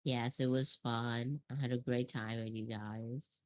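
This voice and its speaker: A man who is a podcast guest and is suffering from allergies, bantering in a dry, low-key way over a voice call. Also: level tone, measured pace. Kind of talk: casual online chat.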